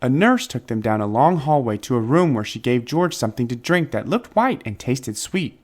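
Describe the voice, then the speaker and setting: A man reading a children's story aloud as narrator, phrasing it deliberately and expressively for young listeners. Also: mid-range voice, big pitch swings, darkish tone. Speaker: storybook reader.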